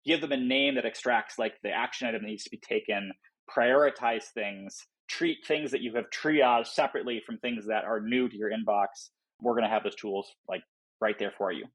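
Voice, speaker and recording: A man's voice: lowish voice, normal speed, fairly bright tone, breathy edge, rough recording. A man giving informal, explanatory interview answers about a product, often voicing imagined quotes as he goes. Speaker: software company founder, podcast guest.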